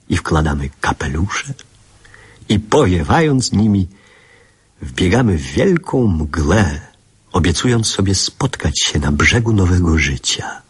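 Husky low-voiced man recalling his boyhood. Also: heavily acted. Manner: measured, reflective, dramatic phrasing. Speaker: narrator. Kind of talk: literary radio drama narration.

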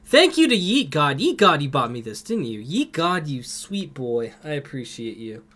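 A young man with a cold, streaming a game from home on a headset and greeting his chat by name with excited outbursts. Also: on the high side, moderate pace. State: has a cold.

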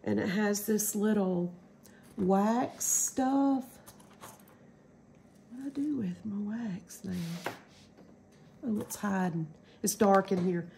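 A woman's voice: mid-range voice, slow pace, long pauses, dark tone, slight background noise. A middle-aged woman with a stuffy cold, chatting casually through a craft demo at home and rambling with lots of ums. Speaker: crafting channel host.

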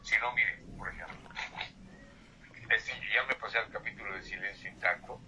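A man's voice: lowish voice, normal speed, sharp tone. An older man, a poet, reciting verse with whispered refrains and then chatting about his books over a phone line. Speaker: poet and guest. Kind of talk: phone-in interview and poetry reading. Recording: tinny phone line with faint hiss.

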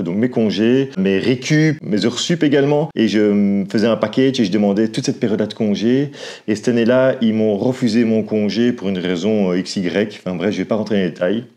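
Belgian man, 40s, vlog co-host, warm mellow tone, casual hesitant chat on lapel mic. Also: medium pitch. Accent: Belgian French. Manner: chatty, with frequent hesitations. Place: covered porch beside a house. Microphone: clip-on lapel mic.

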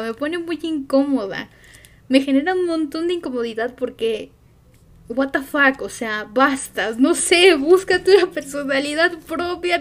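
Slow, high-voiced Mexican woman streamer. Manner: rambling, hesitant with many fillers. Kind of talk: casual stream chat.

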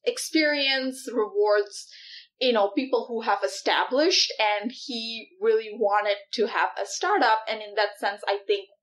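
A woman's voice: a little high, measured pace. A woman in her thirties from Malaysia, a startup CEO talking through ideas in an interview, with many fillers and easy laughs. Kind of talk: in-person interview.

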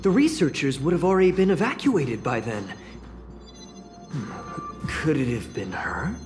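A woman voicing an earnest, excitable young investigator in a sci-fi video game, expressively thinking aloud. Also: low voice, unhurried. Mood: pondering. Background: background music.

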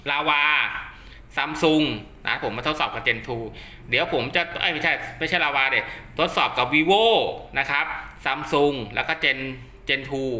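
A man's voice: a little high, slow pace, pushed and bright. A man talking casually through a hands-on product test, explaining step by step in a reassuring, instructional way. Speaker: host of a radio gear channel. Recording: roomy echo.

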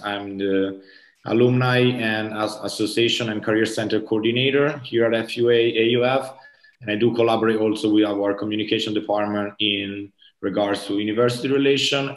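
Italian man with a low voice. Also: steady tone, brisk, echoey room. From Italy. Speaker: university career center coordinator. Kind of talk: panel introduction on a video call. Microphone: video call.

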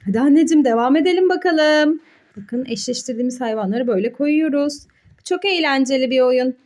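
A woman's voice: high voice, fairly quick, a little mellow. A woman talking as a mother hosting a children's play video, encouraging and full of questions and exclamations. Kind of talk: playful educational chat with child and viewers.

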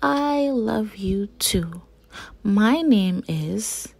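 A woman reads a children's picture book aloud at a slow pace. Her voice is husky and breathy, set at a middling pitch. She phrases each sentence carefully and simply, as a storyteller does for young listeners. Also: lively intonation, a little mellow.

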